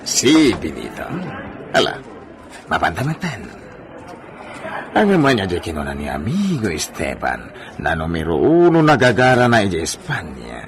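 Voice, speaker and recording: An actor playing a wealthy, commanding landed gentleman in a radio drama, talking familiarly to a servant. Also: sits low, wildly swooping pitch, moderate pace, pauses often, heavily acted. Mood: knowing, amused. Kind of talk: Ilocano radio drama acting. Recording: noisy track with background ambience.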